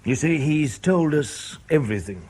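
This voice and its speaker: A spy-service interrogator in a radio play, sardonic and colloquial. His pitch swings freely with a slightly husky edge, and he delivers threats as dry, deadpan understatement. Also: normal speed. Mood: needling, menacing. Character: sardonic, menacing male British intelligence interrogator. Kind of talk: radio drama acting.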